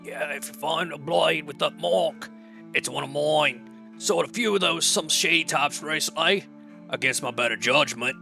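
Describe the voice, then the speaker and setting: A man's voice: fairly high pitch, moderate pace, creaky, sharp tone, soft background music. A man acting as a weary, disgruntled blacksmith in an audio drama, with blunt, grumbling working-man talk. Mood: matter-of-fact. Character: weary, disgruntled overworked male blacksmith, gruff and plain-spoken.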